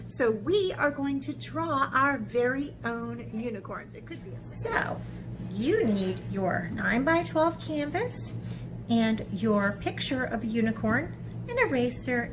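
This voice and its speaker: A woman's voice: on the high side, lively intonation, a little slow, background noise. A woman teaching a children's drawing lesson step by step in an informal, encouraging way, thinking aloud as she goes.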